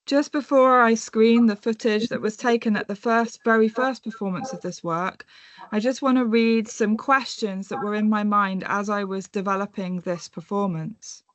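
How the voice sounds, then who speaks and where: A woman in her thirties giving an academic conference presentation in a measured, reflective way, slowing down to pose questions. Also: medium pitch, steady tone, no room sound, muffled recording. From born in England, raised in North America and Europe. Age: 30s.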